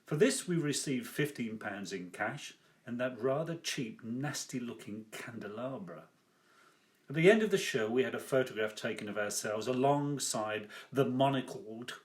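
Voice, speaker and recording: An older man reading his own first-person memoir aloud as its author, with measured, deliberate phrasing. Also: medium pitch.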